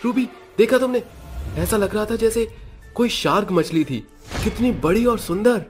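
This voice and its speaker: A man voicing an excitable, easily frightened young newlywed husband in a cartoon, exclaiming and pleading. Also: high voice, moderate pace, faint music behind, pitched above the performer's own voice, natural voice. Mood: amazed. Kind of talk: Hindi cartoon dialogue.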